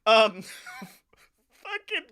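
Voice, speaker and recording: A man's voice: high-pitched, big pitch swings, very slow, pushed and bright, smooth. A man with an American accent in casual comedic banter as a podcast co-host, jumping in with jokey riffs. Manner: jokey, riffing interjections.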